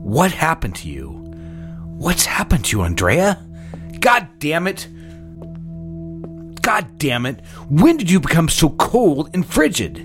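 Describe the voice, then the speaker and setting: An actor playing a domineering, menacing husband with a volatile temper in a dramatic audio fiction, with music behind him. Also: male voice, on the high side, wildly swooping pitch, slow, long pauses, full character voice. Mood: furious. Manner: commanding, swings from controlled to explosive.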